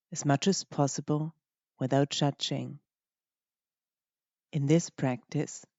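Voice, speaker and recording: Mellow, unhurried meditation guide. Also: medium pitch, no echo, lo-fi sound. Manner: gentle, unhurried, phrases broken by pauses. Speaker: meditation teacher.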